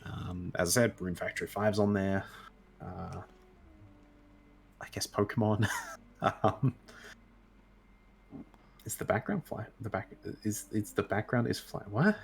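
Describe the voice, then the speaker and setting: A man's voice: fairly low pitch, a little slow, gravelly, pauses often, a little hiss or hum. A man chatting as a guest on an online show, rambling and correcting himself as he tells stories. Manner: rambling, self-correcting storyteller. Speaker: interview guest. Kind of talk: casual chat.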